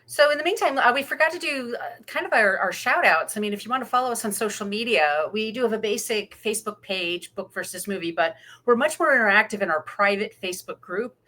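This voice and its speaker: A woman's voice: mid-range voice, animated, fast talker, hardly any pauses, bright, forceful tone. A woman co-hosting a podcast, chatting casually about films and books. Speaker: podcast co-host. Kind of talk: casual film and book discussion.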